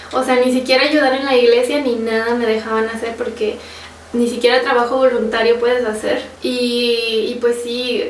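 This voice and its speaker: A young woman with a Mexican accent, casually chatting and laughing often as she answers viewer questions on a sofa at home. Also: fairly high pitch. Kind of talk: casual Q&A chat. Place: living room, on a sofa. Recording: roomy, slightly distant, faint hiss.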